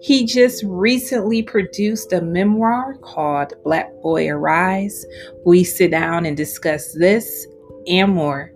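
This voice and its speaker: A woman's voice: on the low side, slow pace, faint music behind. A woman hosting an interview show, upbeat and encouraging toward her guest. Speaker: podcast host.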